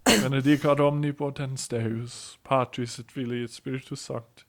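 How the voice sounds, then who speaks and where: A man's voice: medium pitch, steady tone, moderate pace, natural voice. A man playing a courteous, solemn priest who conducts interviews in a religious audio drama. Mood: solemn, kindly.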